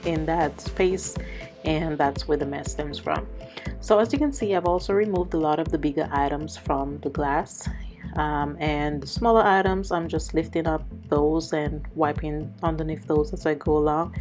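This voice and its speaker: A woman narrating a casual cleaning vlog in a conversational, explanatory way, slightly hoarse from a cold. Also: sits low, darkish tone. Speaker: vlogger. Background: background music.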